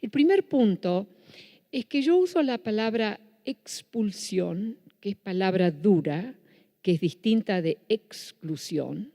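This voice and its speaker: A woman's voice: medium pitch, lively intonation, slow pace, warm tone. A Dutch-born woman with a Buenos Aires Spanish accent giving a public lecture, restarting and correcting herself often. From Netherlands. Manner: self-correcting, with frequent restarts. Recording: reverberant hall.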